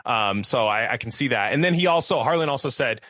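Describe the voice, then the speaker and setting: A man's voice: medium pitch, lively intonation, a little fast, sharp tone, no room sound. A man in casual podcast chat, rambling in slangy, profane language and leaning heavily on "like", close to the microphone.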